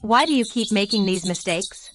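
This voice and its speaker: A woman playing a dismayed, reproachful daughter of a business family in an animated comic drama. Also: medium pitch, normal speed, lightly acted. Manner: questioning, reproachful.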